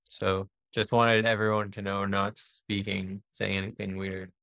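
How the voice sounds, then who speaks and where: A man's voice: fairly low pitch, little pitch movement, a little slow, creaky, pushed and bright, no room sound. A man raised in Pakistan and born in the US, hosting a casual podcast and circling toward his questions in a rambling way. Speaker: podcast host. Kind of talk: casual conversational interviewing.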